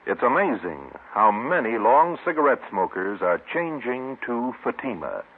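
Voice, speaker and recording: A man with a husky voice reading a scripted 1950s radio commercial as an emphatic sales pitch. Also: big pitch swings, unhurried. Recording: tinny, band-limited old radio recording.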